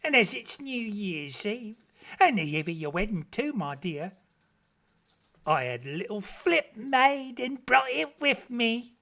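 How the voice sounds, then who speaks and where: A man's voice: sits high, very animated, slow, vocal fry, pushed and bright, pitched above the performer's own voice, heavily acted. A man voicing a good-humoured, effusive woman of about fifty, motherly and theatrical in her gushing. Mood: gushing, affectionate.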